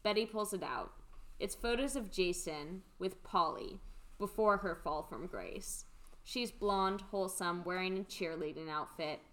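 Slightly low-voiced woman reading stage directions. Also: measured pace. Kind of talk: scripted radio play narration.

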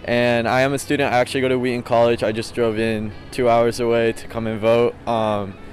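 A man's voice: mid-range voice, flat delivery, fairly quick, faint music behind. An eighteen-year-old man, a student, answering interview questions casually. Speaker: student voter being interviewed.

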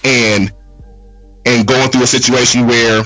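A man's voice: medium pitch, little pitch movement, unhurried, fairly bright tone. A man guesting on a casual podcast, a laid-back storyteller who keeps saying "real talk" and "man". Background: background music track.

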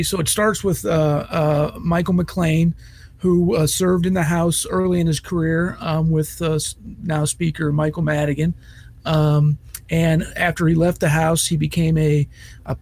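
A man with a scratchy, hoarse voice giving news commentary as an editor, hesitant with many fillers and restarts. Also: fairly high pitch, darkish tone. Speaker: executive editor.

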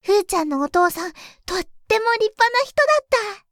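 Little girl for audio drama, super high voice, curious n earnest, dry sound. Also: animated, fairly quick, fairly bright tone, dry recording, heavily acted. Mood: excited, admiring. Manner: childlike, eager, simple phrasing. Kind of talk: Japanese audio drama acting.

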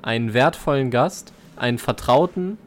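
A man's voice: mid-range voice, expressive, normal speed. A young man, co-hosting a podcast in casual chat. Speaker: podcast co-host.

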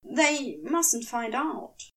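A woman's voice: sits high, very slow, distant mic. A woman voicing a fussy, anxious male angel in a story reading, prim and easily exasperated. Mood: frantic. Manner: fretful, prim, indignant. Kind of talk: fan fiction audiobook character voice.

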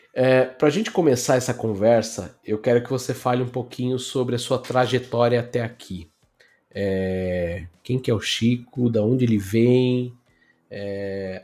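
A man's voice: mid-range voice, a little mellow. A male podcast host welcoming a guest and asking friendly guiding questions. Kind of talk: podcast interview.

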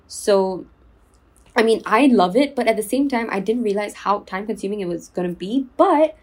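Young woman from singapore chatting on her podcast, clear careful words, soothing voice, overshares a lot. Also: medium pitch. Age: 20s. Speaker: podcast host. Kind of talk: casual solo podcast chat.

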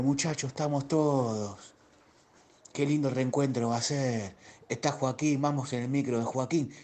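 A man's voice: mid-range voice, darkish tone, close to an everyday voice. Man playing an overeager, nostalgic Argentine ex-classmate. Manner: gushing, repeats phrases. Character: nostalgic, overeager middle-aged male former classmate. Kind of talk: comic radio drama in WhatsApp voice messages.

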